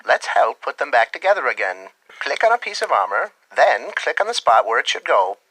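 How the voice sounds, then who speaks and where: A man's voice: medium pitch, very animated, a little fast, low-quality recording. A man giving scripted, theatrical storytelling narration and instructions for a children's game.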